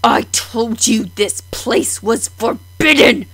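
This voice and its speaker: A woman voicing a fierce, angry and commanding female beast with a husky voice in an audio drama. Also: fairly high pitch, slow, a bit bright, dry recording, heavily acted. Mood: furious. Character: fierce, furious female beast mistress of enchanted castle.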